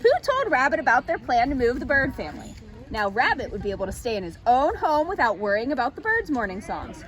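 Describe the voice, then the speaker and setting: A woman reading a children's story aloud as an expressive storytime narrator. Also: sits high, fairly quick.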